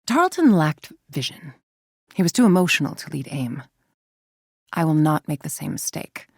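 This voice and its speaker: A woman playing a cold, calculating villain scientist-executive in a video game, speaking in a measured, imperious, menacing way with a husky, creaky voice. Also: sits low, sing-song, dry recording, clean studio sound, heavily acted. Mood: stern, contemptuous. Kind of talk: scripted dramatic video game monologue.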